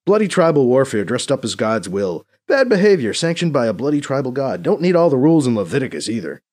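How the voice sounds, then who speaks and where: An impatient, irreverent former pope from an audiobook, played by a male narrator. His pitch is raised and swoops widely, and he talks in blunt, sarcastic exclamations. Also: big pitch swings, fast talker, darkish tone. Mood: dismissive.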